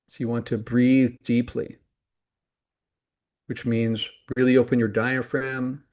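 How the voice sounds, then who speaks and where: Man calmly leading a guided meditation. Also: mid-range voice. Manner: calm, deliberate, with pauses between short phrases. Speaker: meditation teacher.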